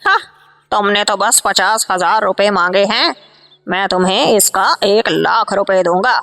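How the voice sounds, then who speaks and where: A woman's voice: mid-range voice, measured pace, fairly bright tone. A woman voicing a shrewd, grasping male hunter who bargains persuasively in a cartoon story. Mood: calculating.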